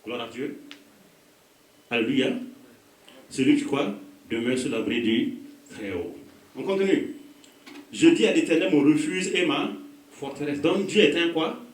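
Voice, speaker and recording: A man's voice: a little high, expressive, measured pace, long pauses. A pastor with a West African French accent gives a call-and-response sermon, prompting the congregation to finish his phrases. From Burkina Faso. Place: church hall. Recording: echoey hall, voice from a distance.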